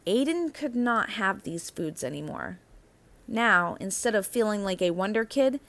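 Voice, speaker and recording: A woman narrates a picture book for children at a slow, measured pace. Her pitch sits in the middle range for a woman. She phrases expressively and leans deliberately on key words. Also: expressive, fairly bright tone. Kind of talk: expressive children's read-aloud storytelling.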